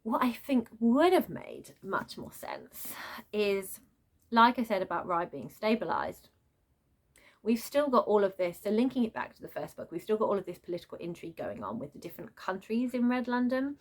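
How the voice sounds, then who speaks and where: A woman giving an unscripted, chatty book rant as a reviewer, exasperated and prone to animated asides. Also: mid-range voice, moderate pace. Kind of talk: unscripted book rant, casual vlog chat.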